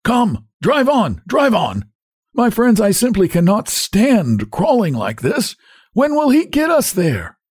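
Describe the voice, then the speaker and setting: A high, cracked, quivering voice swoops about as a short young hunchback from a storybook. He is bossy and jeering, barking impatient abuse. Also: wildly swooping pitch, takes it slowly, husky, dry recording, clean studio sound, heavily acted.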